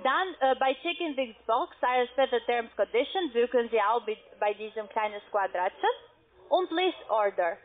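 High-voiced woman on a tinny phone line. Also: expressive. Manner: halting, insistent, step-by-step instructing. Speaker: call centre agent for an online trading platform. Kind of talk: scripted-sounding phone sales instruction. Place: office, on a phone call. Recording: muffled narrow phone line.

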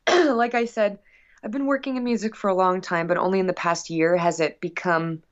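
A woman's voice: fairly low pitch, fairly even intonation, normal speed. A woman speaking earnestly and reflectively as a musician being interviewed, in casual conversation. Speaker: guest musician. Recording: dull, lo-fi sound.

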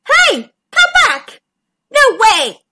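A woman's voice: very high-pitched, huge pitch swings, extremely slow, heavy background noise, pitched above the performer's own voice, full character voice. A woman voicing terrified, fussing small fish in a children's storybook, shrilly crying out. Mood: panicked, pleading.

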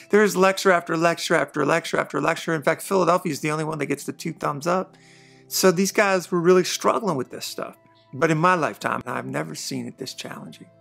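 A man in his forties giving an earnest, conversational monologue to camera about faith, shifting between emphatic bursts and quiet asides. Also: fairly high pitch, gravelly. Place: dark studio backdrop, indoors.

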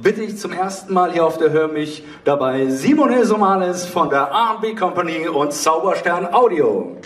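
A man moderating a live stage panel, pausing often and drawing out words. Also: high-pitched, big pitch swings, a bit bright. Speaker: panel host, audio drama producer. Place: theatre stage, indoors. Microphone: handheld mic over PA, recorded from audience. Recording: roomy, distant hall recording with noise.

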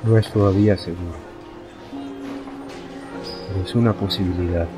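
A man's voice: low-pitched, fairly even intonation, normal speed, music in the background, close to an everyday voice. A man with a Latin American accent playing an anxious, guilt-ridden father in a video game dub. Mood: worried. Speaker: fan dub voice actor.

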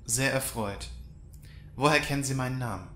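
A man's voice: medium pitch, moderate pace, fairly bright tone, slight background noise, natural voice. A man acting a defiant, exasperated action hero's sidekick in an audio drama. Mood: guarded, defiant. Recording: roomy, echoey voice recording.

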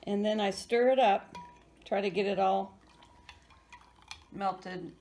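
A woman's voice: medium pitch, steady tone, takes it slowly, music in the background. Older woman giving cooking steps. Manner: plain, step-by-step instructions. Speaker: home cook. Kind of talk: casual cooking demonstration. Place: home kitchen, indoors. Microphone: camera across the kitchen. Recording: echoey kitchen, camera far off, loud noise.

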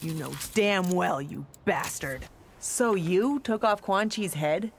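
An actress playing a tough, foul-mouthed special forces fighter in video game banter, blunt and combative. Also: female voice, fairly low pitch, slow. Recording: loud background noise.

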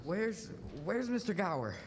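A man's voice: sits high, big pitch swings, unhurried, a little hiss or hum, exaggerated voice. A young man playing a frantic, bewildered family man who stammers, in a live radio play. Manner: agitated, stammering. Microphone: stand microphone on stage. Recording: reverberant school auditorium stage.

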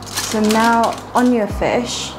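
A woman's voice: a little high, drawn-out words, low-quality recording. A woman, chatting casually as she talks viewers through a home cooking recipe step by step. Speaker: cooking vlogger. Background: background music.

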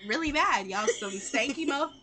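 A woman ad-libs a comedic cartoon dub in a high, bright voice. She is sassy and slangy, giggly, and very animated in pitch. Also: normal speed. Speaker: amateur fan dubber.

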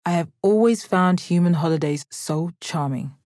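Video game role: stoic alien gem warrior woman played by an actress. Lowish register, deadpan, confident, kind, dry close recording. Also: dry recording, close to an everyday voice. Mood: warm, composed. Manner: measured, deadpan, formal phrasing. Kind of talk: video game character voice lines.

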